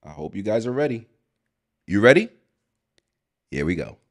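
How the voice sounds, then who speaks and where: A middle-aged man co-hosting a casual interview show, upbeat and quick to laugh, on a lapel mic in an indoor studio. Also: on the low side, over music. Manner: animated, upbeat, laughs often. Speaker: podcast co-host. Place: indoor studio set with armchairs.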